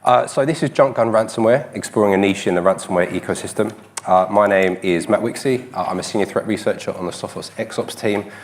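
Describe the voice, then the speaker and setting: A man's voice: lowish voice, brisk. A man giving a technical conference talk, a bit congested from a cold, with a self-deprecating, filler-heavy delivery. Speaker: conference speaker.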